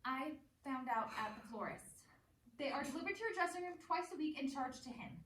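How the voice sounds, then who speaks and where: A woman's voice: sits high, animated, a little fast, fairly bright tone. A woman playing a jealous, pleading young wife in a stage play, tearful and bitterly self-deprecating. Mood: wounded, subdued. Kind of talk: one-act stage play acting. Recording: echoey room from far off, noisy.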